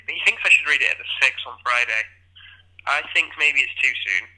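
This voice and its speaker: A blunt, argumentative literary friend in a radio play. His speech is rapid and very bright, coming through a telephone filter. He sounds wry and skeptical. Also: mid-range voice, breathy edge, rough recording. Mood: wry, casual. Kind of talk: audio play dialogue.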